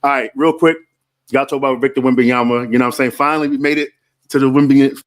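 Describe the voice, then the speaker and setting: A man's voice: medium pitch, fairly even intonation, quick pace. A man in his forties hosting a casual podcast, talking in a laid-back way with lots of "like" and "you know". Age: 40s. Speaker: podcast host.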